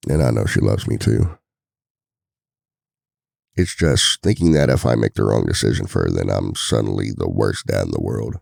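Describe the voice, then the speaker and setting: A man playing a devoted, worried single-dad boyfriend in an intimate ASMR roleplay, confiding softly with a gravelly voice. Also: moderate pace, long pauses, dry recording, clean studio sound, full character voice. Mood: anxious, tender. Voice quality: very deep, gravelly.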